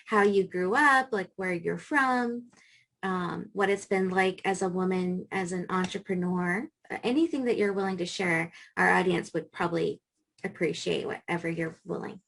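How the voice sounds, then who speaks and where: A woman hosts an interview and gently encourages her guest to share. Her voice has a gravelly, creaky edge. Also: on the low side, moderate pace. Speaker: host, trauma therapist. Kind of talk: interview hosting.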